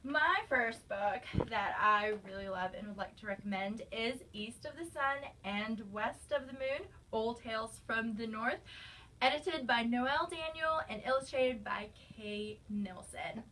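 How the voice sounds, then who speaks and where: A woman's voice: a little high, unhurried, bright, forceful tone, recorded from a distance, low-quality recording. Upbeat woman recommending books, slightly off-mic. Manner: enthusiastic, chatty, with fillers. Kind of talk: casual book recommendation video.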